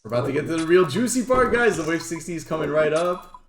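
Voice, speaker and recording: A man's voice: a little high, expressive, fast. French-speaking man, echoey gaming commentary. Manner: informal, chatty, occasional swearing. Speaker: gaming streamer. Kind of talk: casual gameplay guide commentary. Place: indoors at a computer. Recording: roomy echo, faint background noise.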